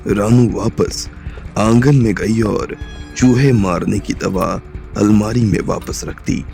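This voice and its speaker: A man narrates a scripted audio story in a low, gravelly voice. His delivery is very unhurried and measured, like an audiobook reader telling a tale. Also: mellow, soft background music.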